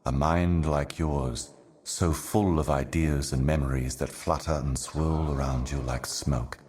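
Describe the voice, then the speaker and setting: An actor playing an ominous, ancient otherworldly herald in a video game, speaking in solemn, cryptic, deliberate monologue. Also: male voice, a bass voice, steady tone, darkish tone. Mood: ominous, brooding. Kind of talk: scripted video game voice acting, slow monologue.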